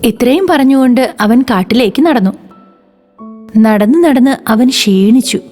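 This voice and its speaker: A woman's voice: fairly high pitch, animated, measured pace, darkish tone, faint music behind. A woman narrating a scripted children's moral story.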